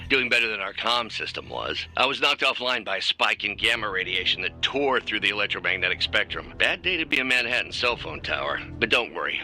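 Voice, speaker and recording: A deep, crisp-edged polite scientist. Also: male voice, sharp tone, full character voice, rough recording. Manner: measured, reassuring, explanatory. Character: calm, polite, apologetic male scientist superhero. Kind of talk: video game voice acting.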